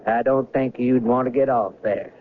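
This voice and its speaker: An actor playing a calm, courteous and evasive train conductor in a radio drama. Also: male voice, mid-range voice, normal speed, natural voice. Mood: calm, cryptic. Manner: polite, unruffled, cryptic. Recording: noisy, narrow-band old radio recording.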